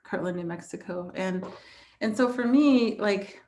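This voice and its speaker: A woman's voice: mid-range voice, a little slow, darkish tone. An American woman in her forties from the Navajo Nation, talking reflectively about personal experience as a panelist. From Navajo Nation, southwestern United States.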